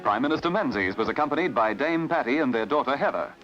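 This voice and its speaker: A man with an Australian accent narrating a newsreel in a brisk announcer style, on a dull old archival recording with music behind. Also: medium pitch. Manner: brisk announcer delivery with lively intonation.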